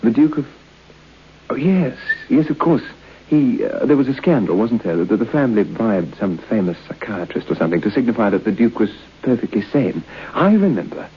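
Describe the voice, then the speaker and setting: A man's voice: mid-range voice, lively intonation, normal speed, breathy edge. An actor playing a polite, nervous bachelor in his thirties, hesitant and stammering, in a vintage radio suspense play. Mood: uneasy, curious. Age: 30s. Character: polite, nervous thirty-three-year-old bachelor guest. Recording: dull lo-fi old radio broadcast.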